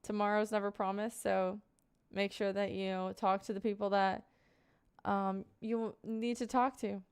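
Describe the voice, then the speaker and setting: This is a young woman in her twenties talking to her viewers on a live stream. She answers chat questions in a casual, meandering way, full of fillers, and turns sincere when she offers life advice. Her voice is smooth and clear, sitting at an ordinary middle pitch. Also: little pitch movement, a little slow. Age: 20s. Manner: rambling, filler-heavy, earnest advice.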